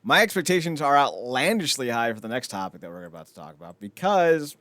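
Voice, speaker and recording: A man's voice: mid-range voice, sing-song, brisk, bright, forceful tone, no room sound. A man hosting a podcast in casual chat about films, rambling along with blunt, wry opinions. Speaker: podcast host.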